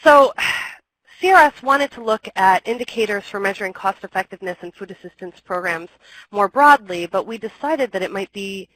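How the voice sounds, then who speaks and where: A young woman with a cold gives a webinar presentation, her voice a touch scratchy. She explains step by step, often pausing on ums and correcting herself. Her pitch sits in the middle range, and her delivery is even. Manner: explanatory, with frequent ums and restarts. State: has a cold, slightly scratchy.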